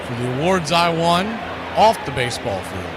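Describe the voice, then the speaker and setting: A man with a high voice works as a baseball radio host over clear background noise. He gives short, punchy play-by-play calls and slips into casual chat between them. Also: sing-song, moderate pace.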